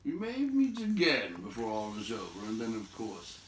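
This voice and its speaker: A man voicing a brisk, bossy old wizard from a storybook, with an emphatic, scolding and teasing manner. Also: fairly low pitch, wildly swooping pitch, roomy sound, recorded from a distance, a little hiss or hum. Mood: brisk, exhorting.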